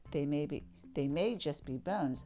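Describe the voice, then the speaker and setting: A woman voicing a calm, reassuring father pig in a storybook, explaining things patiently. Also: sits low, unhurried, soft background music.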